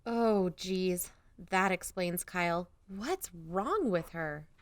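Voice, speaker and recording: An actress playing a brisk, practical young woman investigator in a naturalistic audio drama. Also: on the low side, lively intonation, no echo. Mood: concerned.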